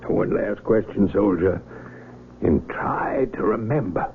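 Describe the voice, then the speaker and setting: A calm army major and surgeon speaks soothingly while quietly probing and dodging. His low voice has a gravelly edge. The old radio broadcast sounds thin and phone-like, with a faint hiss. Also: slow pace, full character voice. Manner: soothing yet probing, evasive. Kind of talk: 1970s radio drama acting.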